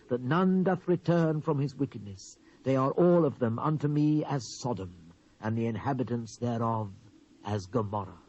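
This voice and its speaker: A British actor playing a stern, wrathful Hebrew prophet in a dramatised scripture reading, solemn and declamatory, swelling and dropping for emphasis. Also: male voice, mid-range voice, mellow, natural voice. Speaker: Bible narrator. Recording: dull lo-fi cassette transfer.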